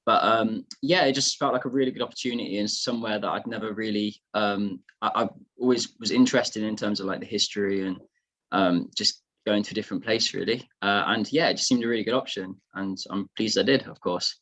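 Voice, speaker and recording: A man's voice: on the low side, fairly even intonation, a little fast, a bit bright, rough recording. A man in his twenties with an English accent, talking casually as a podcast guest. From England. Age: 20s. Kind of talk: casual chat.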